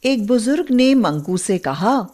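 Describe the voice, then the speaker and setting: A woman narrating a scripted moral story in an expressive, deliberate storytelling manner. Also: fairly high pitch.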